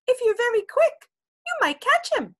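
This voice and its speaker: A woman voicing a tiny squeaking mouse who pretends to be a monster, chattering high and quick. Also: big pitch swings, a bit bright, full character voice. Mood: fibbing.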